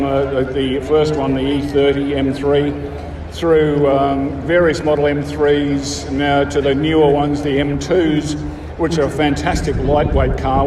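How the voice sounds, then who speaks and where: An Australian man from the bush giving a casual interview as a car club member, halting but enthusiastic. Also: on the high side, noisy background. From rural Australia. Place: outdoors at a motor show. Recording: echoey outdoor PA, distant, crowd chatter. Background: crowd.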